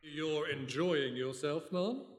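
An actor playing a polite, deferential young detective's assistant in a live comedy radio mystery. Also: male voice, on the high side, slow pace. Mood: polite, earnest. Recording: echoey hall, live stage recording.

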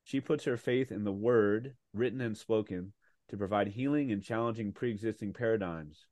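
Male podcast host, low voice, dry close recording, chatty and upbeat. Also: normal speed, a little mellow, no echo. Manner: chatty, enthusiastic, with frequent fillers. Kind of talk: casual interview chat.

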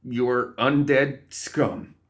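A man's voice: medium pitch, fairly even intonation, very slow, voice pushed up. A man voicing a terrified male guard in an audiobook, wobbly, stammering and moaning, feebly defiant. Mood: shaky defiance. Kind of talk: audiobook character voice.

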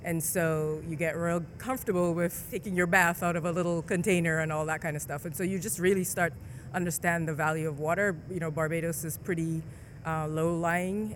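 A woman from the Caribbean, mostly Jamaica, as an engineer guest telling relaxed stories full of fillers. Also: fairly low pitch, brisk, slight background noise. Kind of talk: conversational interview.

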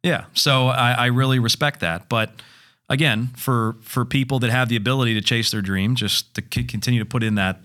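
A man speaking in an easygoing podcast conversation at an average pitch. He rambles, restarts his sentences and fills the gaps with "you know" and "um" as he works his way toward each point. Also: steady tone, brisk, a bit bright. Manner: rambling, full of restarts and fillers. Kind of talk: casual chat.

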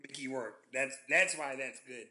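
Southeast us guy, nasal and real bright, chatty sports podcast co-host. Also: mid-range voice. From Southeast United States. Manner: rambling, halting with restarts and joking asides. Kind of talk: casual sports chat.

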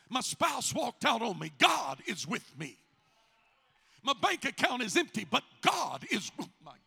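A male pastor delivers a fervent, exhorting sermon in a very high-pitched voice. His tone is gravelly and raspy, heavy with creak. He leans hard on repeated phrases for emphasis. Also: bright, forceful tone. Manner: emphatic, repetitive, exhorting delivery with dramatic swells. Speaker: pastor preaching to a congregation. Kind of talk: fervent Pentecostal-style sermon.

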